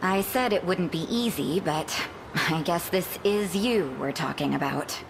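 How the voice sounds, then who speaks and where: A woman playing a worldly, proud noble general with a wry, cool, measured manner in a video game. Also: lowish voice, creaky, over music, low-quality recording.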